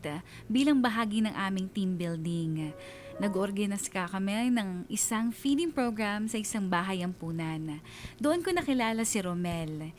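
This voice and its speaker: Reflective Filipino woman of twenty-nine, voiced by an actress, medium pitch, confiding radio drama narration, faint background ambience. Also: slight background noise, lightly acted. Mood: wistful. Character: wistful twenty-nine-year-old female bank manager recounting lost love.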